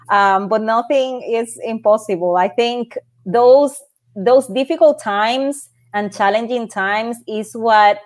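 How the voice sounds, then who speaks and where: A woman from Puerto Rico with a Puerto Rican Spanish accent, telling her career story as a panel guest over a video call, earnest and often restarting her words. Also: a little high. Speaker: panel guest, space project manager. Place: home office, indoors.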